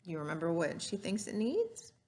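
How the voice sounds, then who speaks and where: A woman's voice: fairly low pitch, wildly swooping pitch, normal speed, darkish tone. A woman reading a story aloud to children like a teacher, adding explanations and questions for the listener. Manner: didactic, asks the listener questions.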